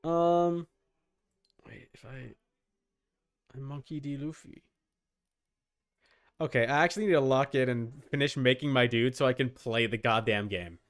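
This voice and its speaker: A man's voice: mid-range voice, unhurried, pauses often, dry recording, faint music behind. A man chatting casually and swearing as he livestreams while setting up a game character. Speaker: streamer.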